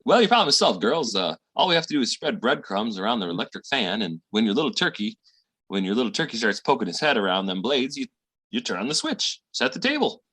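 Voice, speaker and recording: A man playing a slangy, squeamish small-time schemer with a raspy voice in a 1940s-style radio comedy. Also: mid-range voice, very animated, quick pace, sharp tone, exaggerated voice. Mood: breezy, scheming.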